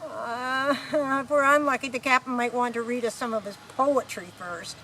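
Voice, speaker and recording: A breezy space-travelling researcher played by a woman in a comic radio play. The voice is high and casual, explaining matter-of-factly over a noisy background. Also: normal speed, natural voice. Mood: wry, casual. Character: breezy, unflappable alien guidebook researcher, matter-of-fact. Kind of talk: amateur radio play comedy acting.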